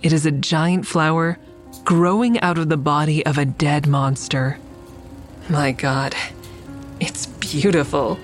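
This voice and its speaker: An actress playing a reflective, tender woman who narrates an audio drama in measured, descriptive first person. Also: sits low, steady tone, soft background music. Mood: admiring, awed. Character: reflective woman admiring a friend's mural, tender. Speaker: narrator and lead character.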